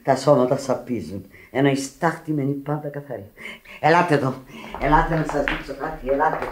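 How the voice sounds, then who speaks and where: Passionate Sicilian widow, roomy sound. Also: female voice, very deep voice. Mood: excited, proud. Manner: emotional, effusive, Italian words mixed in. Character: passionate Sicilian immigrant widow, proud and grieving. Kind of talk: Greek radio theatre acting. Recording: roomy old radio drama recording.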